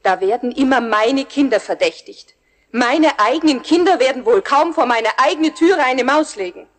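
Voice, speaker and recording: A woman playing an easily shocked, indignant mother in a radio play, shrill and theatrically outraged. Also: expressive, moderate pace. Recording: faint noise on old record.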